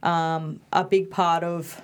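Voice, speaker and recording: A woman's voice: fairly low pitch, little pitch movement, takes it slowly, clear, resonant tone. A young woman from Bali, whose first language was Indonesian, speaking as an interview guest in a chatty, casual way with many fillers and restarts. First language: Indonesian and Balinese.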